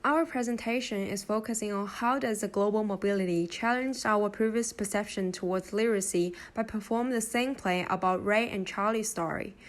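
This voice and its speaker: A woman student with a Chinese accent, introducing a class presentation in a measured, scripted narration. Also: medium pitch. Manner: measured, reads deliberately.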